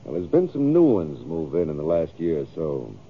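Self-justifying cattleman, American, folksy, wide swings in pitch, thin old-radio sound. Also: big pitch swings, moderate pace, husky, narrow, tinny audio, full character voice. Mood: defensive. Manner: folksy, ungrammatical. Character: stubborn, self-justifying rough-spoken cattle rancher. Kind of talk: 1950s radio western acting.

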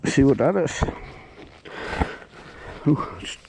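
Man, slow talker, gravelly fry, muttering, outdoor yard, faint background noise. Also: on the high side, expressive, a little mellow, rough recording. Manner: muttering to himself, unhurried. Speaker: metal detecting vlogger. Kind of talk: casual running commentary while digging. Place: outdoors at an old farm house yard.

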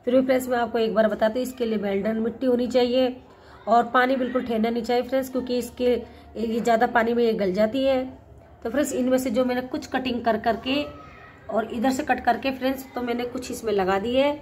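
A middle-aged woman with a hoarse, cold-roughened voice chats casually to her viewers and keeps calling them friends. She is recorded outdoors on a rooftop terrace on a phone held at arm's length. A faint hiss of background noise sits under her voice. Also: fairly high pitch, steady tone, quick pace, darkish tone. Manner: chatty, repeats "friends" often. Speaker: gardening channel host. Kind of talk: casual vlog talk to viewers.

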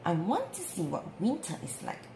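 A woman's voice: lowish voice, huge pitch swings, moderate pace, warm tone, exaggerated voice. A woman voicing a stubborn young female tortoise in a plaintive, defiant way for a storybook. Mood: pleading. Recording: roomy with clear background noise.

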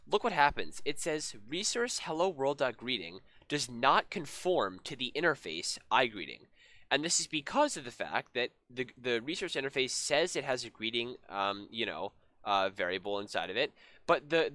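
A young man teaching a coding lesson in a loose, casual way, slightly hoarse from being sick and full of ums and restarts. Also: medium pitch, no echo. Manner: relaxed, many ums and restarts. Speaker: programming tutorial host. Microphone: headset.

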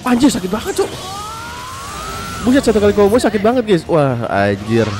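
A young man with a stuffy, blocked nose giving excitable, slangy play-by-play of a fighting game and talking straight to his viewers. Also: sits high, normal speed. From Indonesia. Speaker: gaming commentator. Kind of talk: casual gameplay commentary. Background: game music.

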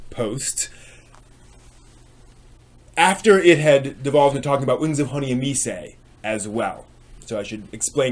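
A middle-aged man hosting and giving a dramatic reading with commentary, using expressive, theatrical emphasis. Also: medium pitch. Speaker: host and reader. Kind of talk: dramatic reading of old online posts with explanatory commentary. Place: small home room with bookshelves, indoors.

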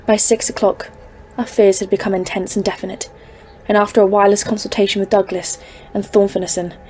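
A woman's voice: medium pitch, little pitch movement, fairly quick, darkish tone, faint music behind, natural voice. A woman acting in an audio drama as a haunted expedition leader of thirty-four, grave and literary, foreboding in tone. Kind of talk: scripted audio-drama narration and dialogue. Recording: clearly noisy, ambient background.

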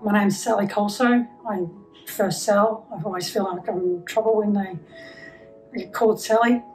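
A woman's voice: medium pitch, fairly even intonation, normal speed, a little mellow. Woman, Australian accent, chatty, self-deprecating, far from mic, music in background. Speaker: fan sending birthday wishes. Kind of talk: casual video greeting. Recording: distant mic with music behind.

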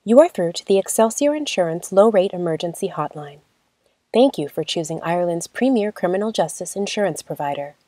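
Give me a woman playing a formal automated hotline greeting, warm and low. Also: animated, a little fast, dry recording, natural voice. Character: recorded insurance hotline greeting voice, polished.

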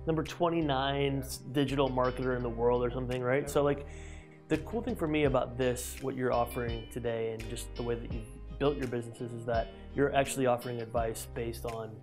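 A man's voice: medium pitch, fairly even intonation, fairly quick, over music. A man in his thirties hosting an interview, talking energetically with many fillers and restarts, on a lapel mic in a glass-walled office. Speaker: host. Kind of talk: enthusiastic interview intro.

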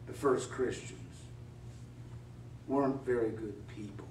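A man's voice: mid-range voice, steady tone, a little slow, a little mellow. A middle-aged male pastor delivers a sermon, talking to the congregation in a casual, wry way and asking rhetorical questions. He stands some way back from a pulpit mic, so his voice comes across a bit distant. The church adds a roomy echo, with a faint hum of ambience underneath. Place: church sanctuary.

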